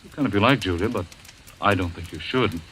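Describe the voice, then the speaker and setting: An actor playing a calm, authoritative doctor in a vintage radio drama, with a firm, paternal, measured manner. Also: male voice, low voice. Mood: reassuring, firm. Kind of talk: old-time radio drama acting. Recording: noisy vintage radio recording.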